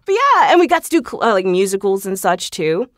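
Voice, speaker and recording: A woman's voice: medium pitch, very animated, brisk, no echo, clean studio sound. A woman talking casually and informally as a podcast guest, telling self-deprecating stories about herself. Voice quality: trained singer. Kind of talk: casual interview chat, personal anecdotes.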